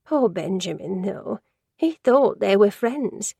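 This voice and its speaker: A woman's voice: medium pitch, animated, slow pace, gravelly, mellow, breathy edge, dry recording, exaggerated voice. A woman voicing a gossipy, judgmental older woman who works in an office, rambling and easily sidetracked in a storybook reading. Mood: indignant.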